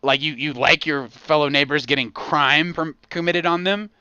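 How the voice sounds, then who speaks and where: An American man hosting a casual comedic podcast, talking in an excitable, profane way with punchy repetition. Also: on the high side, normal speed, smooth, dry recording, dull audio. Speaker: podcast host.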